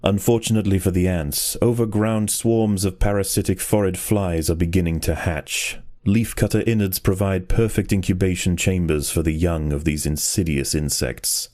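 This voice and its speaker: A man reading scripted nature documentary narration for a game in a measured, grave and formal storytelling manner. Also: sits low, fairly even intonation, darkish tone, dry recording, studio quality.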